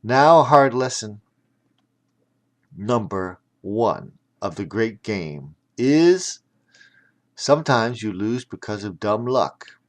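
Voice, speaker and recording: Slow-paced male children's storyteller. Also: fairly low pitch, expressive. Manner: theatrical, breaks off for explanatory asides. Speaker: author and audiobook narrator. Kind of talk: animated children's storytelling with chatty asides.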